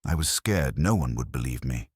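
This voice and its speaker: A man voicing a nervous, earnest young woman who confesses her part in a scheme, his voice pitched up and hesitant. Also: lively intonation, moderate pace, a little mellow, breathy edge, dry recording, studio quality, heavily acted. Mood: anxious.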